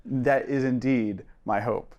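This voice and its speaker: A man voicing a sassy elven royal with formal, halting, faintly condescending politeness in a tabletop game. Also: medium pitch, fairly even intonation, a little mellow, lo-fi sound. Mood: haughty politeness.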